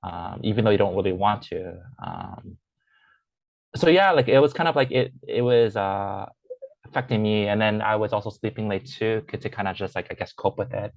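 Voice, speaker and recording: A lower-pitched young man speaks haltingly, full of fillers and restarts. His voice sounds a little muffled and dull, recorded dry with no room sound. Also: vocal fry, dry recording. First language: English; Chinese is his second language. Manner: hesitant, many fillers and restarts. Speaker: interview guest. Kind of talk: casual personal conversation.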